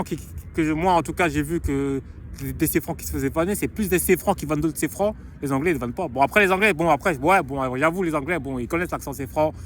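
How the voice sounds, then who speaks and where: A man's voice: medium pitch, a bit bright, slight background noise. A young man talking casually in a vlog, slangy and rambling, restarting and repeating phrases. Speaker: YouTuber promoting his book.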